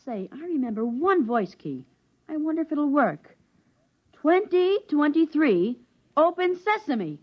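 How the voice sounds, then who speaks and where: A man's voice: very high-pitched, very animated, a little slow, a little hiss or hum, exaggerated voice. An actor playing an eager, cheeky thirteen-year-old boy in a 1950s science fiction radio drama, with brash boyish slang. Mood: brash, defiant. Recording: muffled vintage 1950s radio recording.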